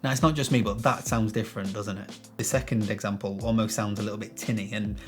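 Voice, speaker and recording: Bassy man explaining a tutorial. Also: fairly even intonation, fast, faint music behind. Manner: chatty and self-deprecating, explaining step by step. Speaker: video tutorial host. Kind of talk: casual tutorial talk to camera. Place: small room with some echo. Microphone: shotgun microphone.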